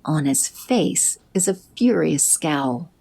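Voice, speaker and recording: A woman narrating an audio drama in a steady, descriptive way. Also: low-pitched, slow, vocal fry, slightly rough. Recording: music bed under narration.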